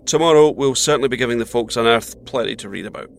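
A man playing a calm, friendly space mission captain with dry humour in a naturalistic audio drama. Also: mid-range voice, fast, vocal fry, a little hiss or hum, natural voice. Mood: reflective, friendly.

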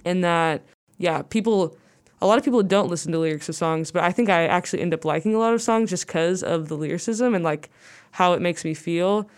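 Young woman guest, low smooth voice, rambling casual talker. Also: a little fast. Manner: rambling, says "like" a lot. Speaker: guest musician. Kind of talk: casual interview chat.

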